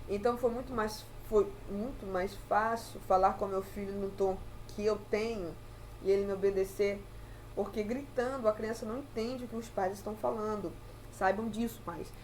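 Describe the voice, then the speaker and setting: A woman's voice: medium pitch, darkish tone, slight background noise, poor audio. A young woman talking to her viewers in a casual, reflective monologue, chatty and often adding tag questions. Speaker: vlogger.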